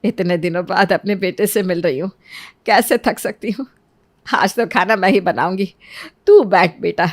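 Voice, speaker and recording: A woman's voice: medium pitch, moderate pace, close to an everyday voice. A woman voicing a devoted, emotional elderly mother and grandmother in a cartoon story, earnest and pleading. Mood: warm, glad. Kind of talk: Hindi cartoon story acting.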